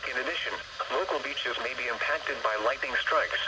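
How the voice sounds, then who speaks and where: An American man reads a scripted weather bulletin as a radio announcer, in a formal, measured, automated way over a weather radio broadcast. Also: medium pitch. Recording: noisy weather radio reception.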